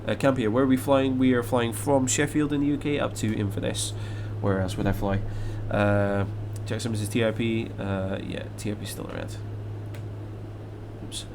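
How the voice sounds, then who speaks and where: A man's voice: on the low side, steady tone, normal speed, gravelly, noisy background. Scottish man chatting on a live stream. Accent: Scottish, mixed with English. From Scotland. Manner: rambling, with many fillers and restarts. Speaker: flight sim live streamer. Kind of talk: casual live-stream chat, reading viewer comments aloud.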